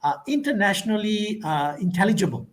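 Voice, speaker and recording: A man's voice: sits high, lively intonation, brisk. A man with a Malaysian accent answering conference questions in a thoughtful way, full of fillers and restarts. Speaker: conference speaker. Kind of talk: academic Q&A answer.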